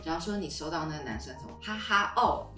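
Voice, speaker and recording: Podcast co-host: young woman, low voice, lively intonation, casual, roomy and slightly distant. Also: fast, soft background music. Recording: roomy, echoey, off the mic.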